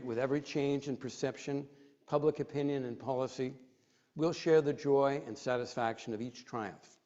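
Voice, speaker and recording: A middle-aged man from Quebec, giving a rousing prepared speech full of emphatic lists, then answering audience questions. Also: mid-range voice. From Quebec, Canada. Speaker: advocacy group president giving a speech. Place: library event room. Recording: dull lo-fi recording.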